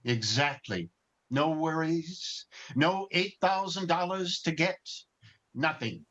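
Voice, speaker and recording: A man playing a gentle, earnest, bumbling guardian angel with a hesitant, genteel manner in 1940s radio drama style, recorded over a video call. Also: fairly high pitch, lively intonation, slow, heavily acted. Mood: delighted, mysterious. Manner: hesitant, old-fashioned, genteel. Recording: dull, muffled video-call audio.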